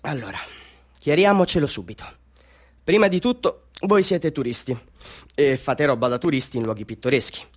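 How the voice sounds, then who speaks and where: A man playing a boastful small-time Bolivian street photographer in a radio drama, pitching to tourists in a pompous, confiding, salesman-like way. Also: animated, normal speed, natural voice. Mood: boastful, grandiose. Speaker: actor in a radio drama monologue. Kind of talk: theatrical radio monologue addressed to tourists.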